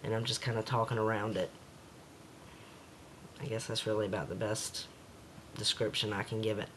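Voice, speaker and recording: A man with a slightly raspy voice talking hesitantly in a casual home video diary, with many pauses and fillers. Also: fairly low pitch, level tone, a bit bright, a little hiss or hum, low-quality recording.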